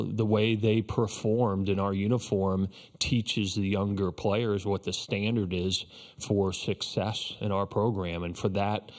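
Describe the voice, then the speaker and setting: A man in his fifties, a head baseball coach, answering questions at a postgame press conference in a measured, reflective way with frequent restarts, speaking into a table microphone. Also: low voice, darkish tone. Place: press conference room, indoors.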